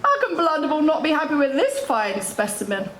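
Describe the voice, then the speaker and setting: A woman's voice: high-pitched, wildly swooping pitch, heavy background noise. A woman playing a scheming, power-hungry pantomime villain on a theatre stage, gloating theatrically. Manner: theatrical, gloating, drawn-out delivery. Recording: echoey theatre stage, loud backing music.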